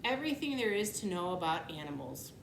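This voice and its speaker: A woman's voice: on the low side, big pitch swings, a little fast. A woman reading the part of an earnest, blunt, literal-minded young boy in a children's storybook, matter-of-fact and insistent. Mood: eager. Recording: echoey room, clear background noise.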